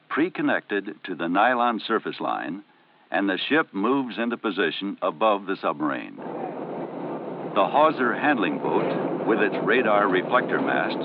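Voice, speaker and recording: Man, 1970 training film narrator, formal, measured, low pitch, muffled noisy soundtrack.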